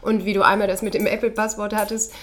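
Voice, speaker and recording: A woman chatting casually as a podcast co-host, teasing her partner with quick questions and then giving thoughtful replies. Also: mid-range voice, little pitch movement. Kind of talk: casual chat.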